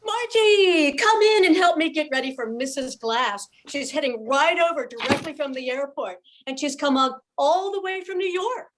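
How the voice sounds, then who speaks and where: A woman's voice: high voice, lively intonation, moderate pace, few pauses, fairly bright tone. An older woman playing an anxious, tender young widowed mother in an old-time radio soap. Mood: anxious, earnest.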